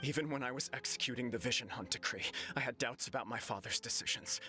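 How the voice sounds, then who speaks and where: A man's voice: medium pitch, fast talker, lightly acted. A man voicing a remorseful young nobleman. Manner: earnest, solemn, formal. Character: remorseful young nobleman, earnest and self-doubting. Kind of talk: game dialogue voice acting. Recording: game audio with music behind.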